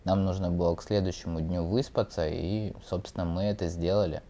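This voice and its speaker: A man's voice: sits low, steady tone, fairly quick, mellow. A man in his thirties casually narrating a road trip as a vlogger, pointing out the sights around him. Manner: easygoing, pointing things out. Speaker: travel vlogger. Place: outdoors by a roadside gas station.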